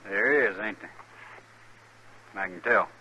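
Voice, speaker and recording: An actor playing an excitable, anxious frontier man in a 1950s radio drama. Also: on the low side, slow pace, slight background noise, full character voice, poor audio. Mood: uneasy.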